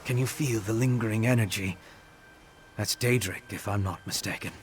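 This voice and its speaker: An actor playing an earnest, inquisitive scholar in a fantasy video game, speaking in a measured, articulate, analytical way. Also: male voice, on the low side, slight background noise, natural voice. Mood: intrigued, analytical. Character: earnest, inquisitive male scholar investigating dark rituals.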